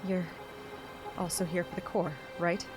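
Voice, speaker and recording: A woman's voice: lowish voice, little pitch movement, measured pace, close to an everyday voice. A woman voicing a guarded, defiant young heroine in scripted sci-fi game dialogue, with music behind.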